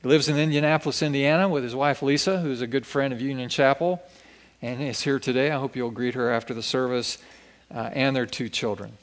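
A man's voice: mid-range voice, fairly even intonation, a little fast. A man reading a formal introduction of a guest speaker to a church congregation. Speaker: church pastor.

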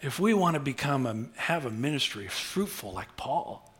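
A middle-aged male pastor giving a sermon, conversational and humorous, swinging from quiet asides to loud bursts. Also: medium pitch, very animated, normal speed. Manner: humorous, conversational preaching with big swings in loudness.

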